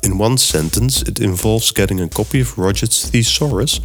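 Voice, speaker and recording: Deep-voiced Dutch-accented man, host. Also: moderate pace, over music. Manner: steady, reads from a script, explains step by step. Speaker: podcast host. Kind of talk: scripted podcast narration and software tutorial.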